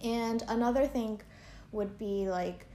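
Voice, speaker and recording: A woman's voice: a little high, slow, warm tone, slight background noise. A young woman from Canada chatting in an interview, talking loosely with lots of fillers and likes. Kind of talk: casual interview chat. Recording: echoey room.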